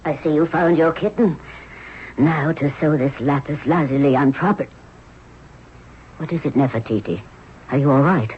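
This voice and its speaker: Woman as a brisk motherly queen, muffled broadcast. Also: deep voice, close to an everyday voice. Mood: scolding, concerned. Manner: brisk, fussing, scolding. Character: brisk, motherly, commanding older queen of Egypt. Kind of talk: radio drama acting. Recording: dull, noisy old radio broadcast.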